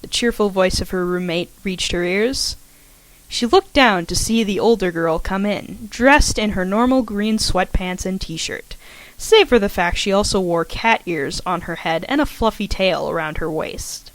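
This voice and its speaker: A woman reading her own fanfiction aloud as a podfic, in a lively storytelling way. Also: medium pitch, a little slow, no echo, poor audio.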